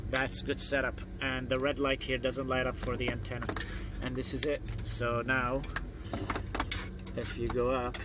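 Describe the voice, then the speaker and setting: A man's voice: mid-range voice, monotone, a little slow, very noisy. A man giving an informal hands-on tutorial as an instructor, explaining plainly step by step. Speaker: instructor demonstrating radio equipment.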